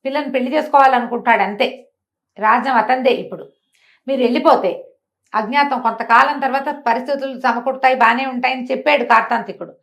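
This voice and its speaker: A woman's voice: high-pitched, a little fast. A woman telling a story in a conversational way, posing rhetorical questions as she narrates. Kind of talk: animated oral storytelling in a talk show.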